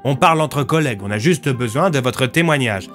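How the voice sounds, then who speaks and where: Inspecteur de police las et méthodique joué par un homme. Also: male voice, mid-range voice, expressive, brisk, a bit bright, faint music behind, natural voice. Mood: patient, weary. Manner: probing, short questions. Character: weary, businesslike male police inspector questioning a witness.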